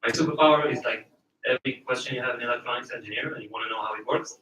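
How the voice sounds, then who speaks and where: Israeli-accented man, bright and distant. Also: mid-range voice, racing. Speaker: networking event attendee. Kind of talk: self-introduction to a group. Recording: roomy, distant, faint noise.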